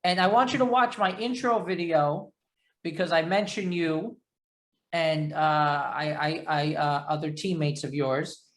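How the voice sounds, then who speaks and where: A man's voice: on the high side, a little slow. Chatty New York-accented male interviewer, roomy sound. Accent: New York area. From New York or New Jersey area. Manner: chatty, rambling, self-deprecating. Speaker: interview host. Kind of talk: casual conversational interview. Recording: echoey room sound.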